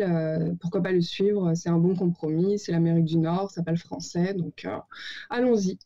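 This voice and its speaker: Relaxed woman in her thirties, lo-fi. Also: lowish voice. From Paris, France. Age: 30s. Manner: relaxed, many "euh" and "bah" fillers, searches for words. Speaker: guest. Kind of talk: casual interview storytelling. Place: home over a call.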